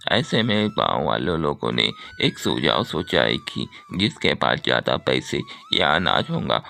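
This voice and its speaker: A man's voice: sits low, gravelly, sharp tone, full character voice. A man playing a greedy, miserly villager in a cartoon moral story, scornful and selfish.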